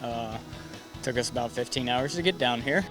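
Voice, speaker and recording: Man from wisconsin, horse trainer, relaxed, plain-spoken, mid pitch, guitar music behind. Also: normal speed.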